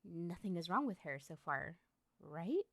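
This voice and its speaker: A woman's voice: lowish voice, big pitch swings, a little slow, no room sound, full character voice. A woman playing a lovestruck, obsessive young woman in an ASMR roleplay, talking to the listener in a coy, rambling, hesitant way. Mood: pointed, defensive.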